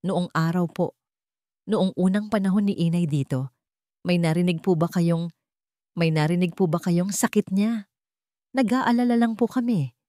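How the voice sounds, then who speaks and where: A woman's voice: lowish voice, moderate pace, long pauses, dark tone, dry recording, clean studio sound, natural voice. A woman with a Filipino accent voicing an earnest, protective young boy in a storybook, polite and serious. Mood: serious, worried.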